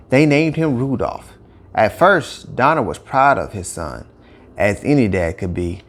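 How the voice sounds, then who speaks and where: A man narrating a scripted children's story with expressive, emphatic phrasing. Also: mid-range voice.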